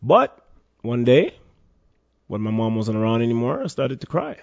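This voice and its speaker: A man's voice: medium pitch, huge pitch swings, normal speed, creaky, a little mellow, no room sound, lo-fi sound. A Jamaican man guesting on a casual podcast, talking earnestly and from the heart.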